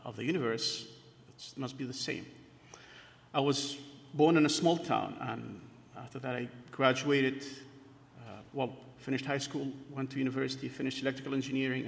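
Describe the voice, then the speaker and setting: Gravelly man, halting testimony in a hall. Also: mid-range voice, a bit bright. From Kurdistan, northern Iraq. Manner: hesitant, with frequent fillers and restarts. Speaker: church member giving testimony. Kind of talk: personal testimony. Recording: long hall reverb.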